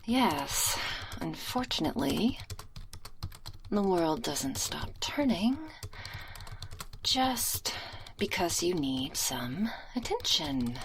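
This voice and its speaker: A woman's voice: fairly low pitch, creaky, slightly rough, full character voice. A woman playing a stern, teasing, domineering school librarian, scolding sarcastically in an intimate, half-whispered ASMR roleplay on a close binaural microphone. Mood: stern, sarcastic.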